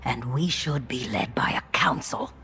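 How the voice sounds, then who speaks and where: Creaky-voiced resolute undead woman leader. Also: extremely low pitch, in a whisper, full character voice. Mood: firm, resolute. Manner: deliberate, dramatic. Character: resolute undead Forsaken woman, weary but defiant leader. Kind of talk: video game cutscene acting. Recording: loud music behind the voice. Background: loud music.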